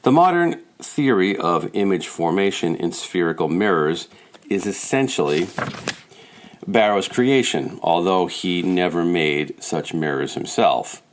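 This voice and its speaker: A man's voice: low-pitched, lively intonation, unhurried, a little hiss or hum. A man reading scholarly nonfiction aloud as a scripted audiobook narration. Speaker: audiobook reader.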